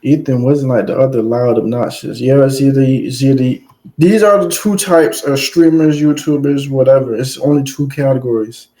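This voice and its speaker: A man's voice: mid-range voice, moderate pace, warm tone, low-quality recording. A streamer, reading a story aloud and breaking off for loose, joking asides to his chat. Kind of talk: casual commentary between read passages.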